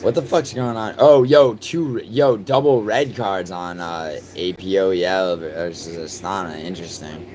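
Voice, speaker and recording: A man from New York City streaming live, chatting casually and cursing in excitable outbursts between mumbled fillers. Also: deep voice, a little slow, few pauses, noisy background. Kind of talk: casual live stream chat, reacting to soccer and reading chat.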